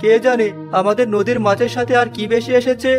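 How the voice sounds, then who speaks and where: A man playing a concerned, wondering village fisherman in an animated story. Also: very high voice, brisk, over music. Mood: worried. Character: village fisherman, longtime river man, anxious companion.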